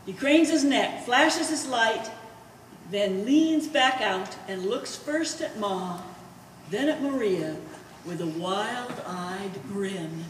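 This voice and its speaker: A woman narrates stage directions in a medium-pitched voice. She is a little far from the mic in a reverberant hall, reading scripted action. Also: expressive, slow. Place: art gallery performance space. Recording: echoey hall from afar, faint noise.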